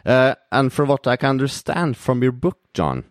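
A man's voice: medium pitch, big pitch swings, normal speed, no echo, clean studio sound. A man from Norway with a Norwegian accent, a non-native English speaker, hosting a podcast and hesitantly searching for words. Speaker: podcast host. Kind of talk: podcast introduction and interview.

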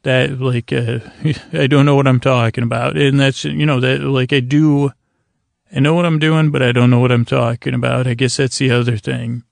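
A man hosting a bedtime podcast, rambling in a slow, languid, gentle and reassuring way with long, meandering pauses. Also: mid-range voice, little pitch movement, creaky, no room sound. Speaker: podcast host.

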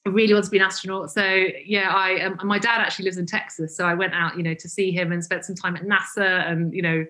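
This is a woman in her forties, talking as a podcast guest. Her voice sits fairly low and she speaks fast, full of ums, restarts and self-deprecating asides. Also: flat delivery, sharp tone. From England (Cambridgeshire). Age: 40s. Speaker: guest, founder of a vegan brand. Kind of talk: reflective interview conversation.

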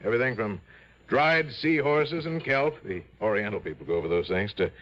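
A man playing a smooth, obliging drug importer in his fifties in a mid-century radio drama, with a genial salesman's manner. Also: fairly low pitch, animated, moderate pace, natural voice. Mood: smooth, businesslike. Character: smooth, obliging fifty-ish male crooked drug importer. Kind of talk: 1950s-60s radio drama acting. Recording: dull old radio broadcast recording.

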